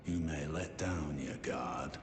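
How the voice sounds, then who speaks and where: An actor voicing a seasoned senior warrior in a fantasy game, courteous and formal, in a roomy, noisy recording. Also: male voice, rumbling low, slow pace. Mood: welcoming. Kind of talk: scripted fantasy game dialogue. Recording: roomy echo, clear background ambience noise.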